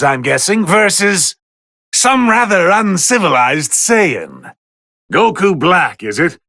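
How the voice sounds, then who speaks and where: A haughty god of destruction as a video game fight commentator. The voice is bright, sharp and fairly high for a male, swooping with condescending, theatrical refinement. He sounds like he is easily bored by mere mortals. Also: creaky, no echo, clean studio sound, exaggerated voice. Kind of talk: English video game voice lines, match commentary.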